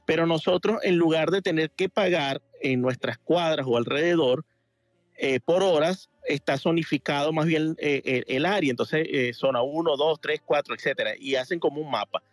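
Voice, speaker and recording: Un hombre de Venezuela que llama a un programa en directo y explica algo con muchas muletillas y frases que vuelve a empezar. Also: male voice, fairly high pitch, moderate pace, dry recording. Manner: rambling, many fillers and restarts. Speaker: caller. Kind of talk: casual call-in chat. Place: home in Miami Beach.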